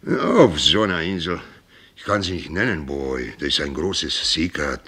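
An old sea captain in a radio drama with a very low, forceful voice. He is gruff and jovial, rambles, breaks off and drops English sailor words into his sentences. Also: very animated, moderate pace, full character voice. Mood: insistent, secretive. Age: older. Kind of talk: German radio play acting.

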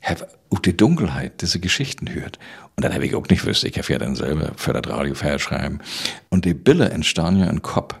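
A man in his sixties with a northern German Low German accent, telling anecdotes vividly in a conversational interview. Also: very animated, a little fast, creaky, husky. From island of Rügen, northern Germany. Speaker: playwright and storyteller, interview guest.

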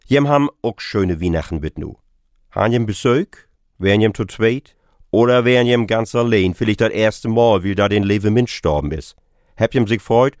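A man with a northern German Low German accent giving a homely scripted radio devotional, addressing listeners directly. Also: darkish tone, dry recording, studio quality.

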